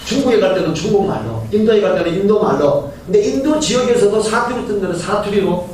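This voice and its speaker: Middle-aged pastor preaching in an echoey hall. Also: male voice, high voice, expressive, fast, darkish tone. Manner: conversational preaching, asks the congregation questions. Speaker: senior pastor. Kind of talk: Sunday sermon. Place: church sanctuary. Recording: very echoey church hall from far off, faint hiss.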